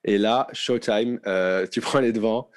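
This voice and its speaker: A man hosting a podcast, setting up long interview questions in a measured way with some fillers. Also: on the low side, clear, resonant tone. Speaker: podcast host.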